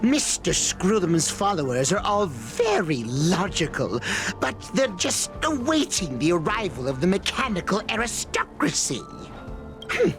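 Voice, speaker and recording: A woman's voice: lowish voice, big pitch swings, measured pace, over music. A woman playing an earnest, devout cult follower in a video game, reverent and confiding. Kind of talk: video game character acting.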